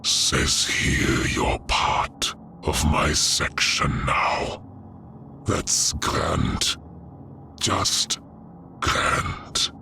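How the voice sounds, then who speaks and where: A man voicing a horrible undead general in an audiobook, menacing yet grimly jovial, with a deep grinding rasp and drawn-out delivery. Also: lively intonation, bright, forceful tone, soft background music, exaggerated voice. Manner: slow, drawn-out, darkly complimentary.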